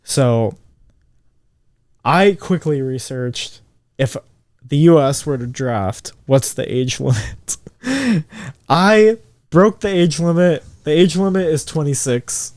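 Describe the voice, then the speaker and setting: A man's voice: slow, darkish tone, no room sound. Man in his twenties, close dry podcast sound. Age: 20s. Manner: swears freely and voice jumps high when indignant. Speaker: podcast co-host. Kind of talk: casual podcast chat.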